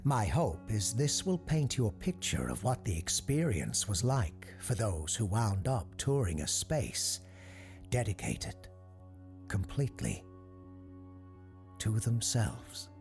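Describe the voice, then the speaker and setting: An actor playing a composed, earnest parapsychologist hosting an eerie radio show, speaking in a measured, formal announcer style. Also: male voice, lowish voice, very animated, over music, heavily acted. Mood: ominous, storytelling. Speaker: host of a paranormal call-in radio show. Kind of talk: scripted radio-show narration.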